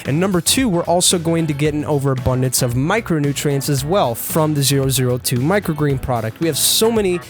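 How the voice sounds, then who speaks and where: A man's voice: on the high side, lively intonation, fairly quick, few pauses, faint music behind. A man hosting an upbeat how-to tutorial on lawn care, chatty and talking straight to his viewers as "you guys.".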